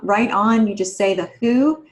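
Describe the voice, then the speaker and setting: A woman's voice: medium pitch, a little slow. A woman on a podcast panel, chatting casually about writing. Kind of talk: casual discussion.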